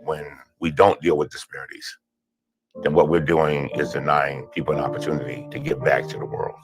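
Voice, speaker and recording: A man with an extremely deep voice speaks with fervent conviction. His delivery rises like a sermon, with faint music underneath. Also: little pitch movement, moderate pace. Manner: impassioned, preacher-like conviction. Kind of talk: documentary interview clip.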